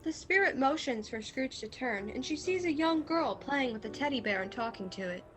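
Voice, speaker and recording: A woman playing the measured storyteller, reading scripted narration for a radio play recorded over a video call. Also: high-pitched, animated, faint music behind.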